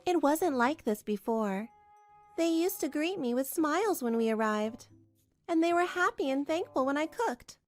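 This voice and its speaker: A woman narrates a scripted manga drama in the first person. Her voice is high-pitched and expressive, telling the story over background music. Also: normal speed. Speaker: narrator and voice actor.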